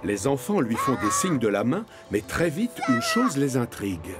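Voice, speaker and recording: A man narrates a children's cartoon story in a medium-pitched voice. The delivery is scripted storytelling, with music clearly playing underneath. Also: very animated, moderate pace.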